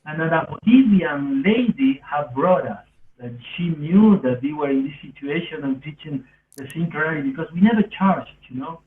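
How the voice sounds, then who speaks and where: A man's voice: on the high side, lively intonation, normal speed, a little hiss or hum. Argentinian man on a distant, phone-like line. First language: Spanish. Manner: earnest, rambling, non-native English phrasing. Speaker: podcast speaker. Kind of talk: casual autobiographical storytelling. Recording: roomy, distant, phone-like thin sound.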